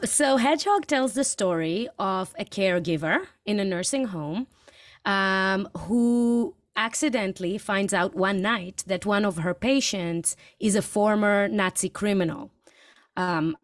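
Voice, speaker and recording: A woman's voice: mid-range voice, a little slow, no room sound, studio quality. A woman from Israel, a filmmaker, answering interview questions thoughtfully with frequent hesitations. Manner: thoughtful, hesitant with many ums. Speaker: guest filmmaker.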